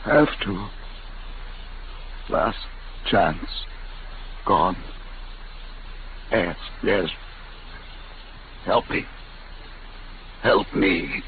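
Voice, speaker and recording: An actor playing a failing, desperate inventor in halting, laboured phrases, in 1950s radio drama style. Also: male voice, low-pitched. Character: failing, desperate male inventor, near collapse. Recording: tinny, hissy old radio recording.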